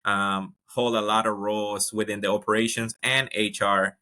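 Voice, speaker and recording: Guy, lowish but bright punchy voice, lots of ums and restarts. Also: level tone, moderate pace. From Ecuador. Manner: many fillers and restarts, upbeat storytelling. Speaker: guest, HR executive. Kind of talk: casual interview talk.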